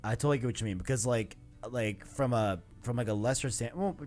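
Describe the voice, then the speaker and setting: A young man with a medium-pitched voice chats as a podcast co-host about games. He is agreeable and feels his way through sentences haltingly, repeating words for emphasis, with music behind him. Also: darkish tone. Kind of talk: casual video game discussion.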